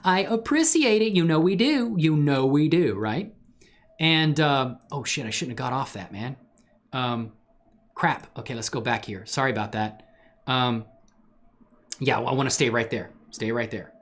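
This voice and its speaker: A man's voice: mid-range voice, normal speed. A man hosting a casual livestream chat, talking in an effusive, grateful manner with his viewers. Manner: effusive, excitedly whooping greetings to viewers, grateful. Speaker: live stream host and 3D animation creator.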